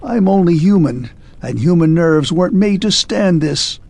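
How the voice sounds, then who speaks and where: A man's voice: high-pitched, animated, a little slow, a little mellow, voice pushed up, heavily acted. A man reading a storybook and voicing a frightened doctor who pleads in a shaky, raised voice. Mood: pleading. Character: frightened middle-aged male doctor, pleading.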